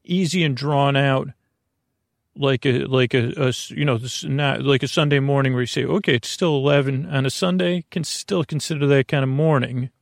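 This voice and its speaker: Male sleep podcast host, gravelly and close-sounding in a dry space, meandering and halting through whimsical bedtime rambles. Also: medium pitch, no room sound. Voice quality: creaky, gravelly. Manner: meandering, halting, repeats words and drifts into whimsical tangents.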